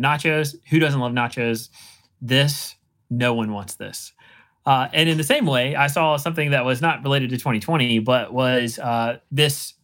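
A man's voice: mid-range voice, a bit bright. A man with an American accent hosting a podcast in casual conversation. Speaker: podcast host. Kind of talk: casual chat.

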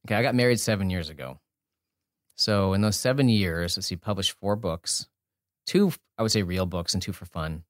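Low-voiced man in casual, dry-sounding interview. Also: moderate pace, dry recording. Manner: informal, thinks aloud, often breaks off and rephrases. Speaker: podcast guest, entrepreneur and author.

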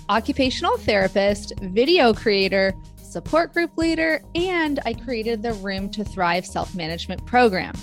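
A woman of about forty speaking enthusiastically as a podcast host. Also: medium pitch. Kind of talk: upbeat podcast introduction and hosting.

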